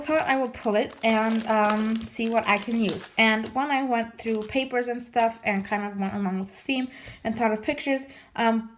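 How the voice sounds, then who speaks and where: A woman chatting casually in a vlog, her voice slightly hoarse as she gets over losing it, rambling with many restarts and fillers. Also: medium pitch. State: recovering from lost voice, slightly hoarse. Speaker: scrapbooking vlogger. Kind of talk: casual show-and-tell chat.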